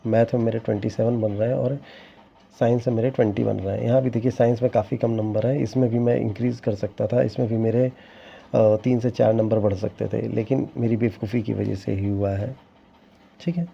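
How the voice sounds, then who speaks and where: A man's voice: mid-range voice, steady tone, brisk, gravelly, dark tone, breathy edge. A young man talking casually to his viewers, rambling with frequent restarts and sounding regretful. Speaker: teacher exam candidate sharing his scores. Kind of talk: casual vlog talk to viewers. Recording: dull lo-fi sound with faint ambience.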